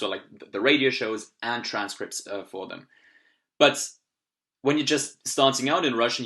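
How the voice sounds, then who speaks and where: A young Austrian man with a native German accent walks through a software tutorial at his computer. His bright, forward voice stays upbeat and explanatory, with a few fillers as he clicks through each step. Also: mid-range voice. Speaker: language learning tutorial host. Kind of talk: screen-recorded software tutorial.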